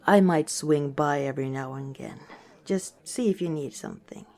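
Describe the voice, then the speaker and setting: A woman playing a friendly, teasing orc tavern owner in a softly spoken ASMR roleplay, chatting gently and without hurry. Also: low voice, normal speed. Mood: playfully teasing.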